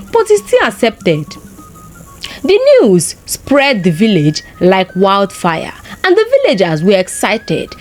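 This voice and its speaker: Woman, Nigerian accent, folktale storyteller, highly animated pitch swings, fairly high voice, music behind. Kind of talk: expressive folktale narration.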